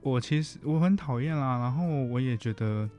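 A man's voice: medium pitch, lively intonation, moderate pace, warm tone, faint music behind. A man with a Taiwanese accent talking reflectively as a guest counselor in a casual interview, often rephrasing his points.

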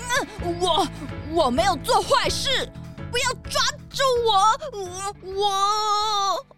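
Timid kangaroo boy, extremely high pitch, halting slow delivery, pleading, stuttering, children's audio drama. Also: music in the background. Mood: panicked. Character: timid male kangaroo, nervous, guilty accomplice.

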